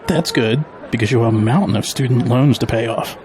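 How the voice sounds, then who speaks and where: A man acts as a wry middle-aged father in an audio drama. He speaks at an ordinary male pitch, with faint noise behind him. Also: fairly quick, a little mellow, a faint crowd, lightly acted.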